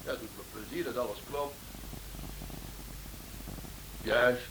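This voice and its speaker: An actor playing a shrewd, courteous writer and amateur sleuth in a radio play, with an animated, probing manner. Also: male voice, mid-range voice. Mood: brisk. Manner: animated, polite, probing, swings between brisk and hesitant. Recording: noisy old radio drama recording.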